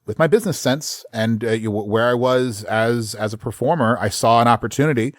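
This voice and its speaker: A man, a voice actor, chatting casually in an interview and telling stories with lively quick voice changes. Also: mid-range voice, no echo, clean studio sound. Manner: animated storyteller, slips into quick voices. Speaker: voice actor guest.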